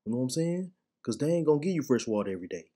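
A man's voice: medium pitch, big pitch swings, racing, warm tone. A man performing comedic animal voiceover skits in slangy, swaggering street talk.

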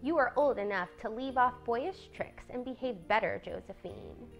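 Storybook elder sister, teenage girl woman acting: prim, earnest, animated, music behind. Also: on the high side, measured pace, exaggerated voice. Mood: scolding. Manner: earnest, lecturing.

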